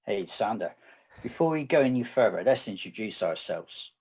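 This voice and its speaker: A middle-aged man with a West Country English accent, co-presenting a technical session in a measured, slightly wry way. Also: on the low side, lively intonation, darkish tone, breathy edge, poor audio. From southwest England. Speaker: conference co-presenter. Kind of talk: scripted tech presentation.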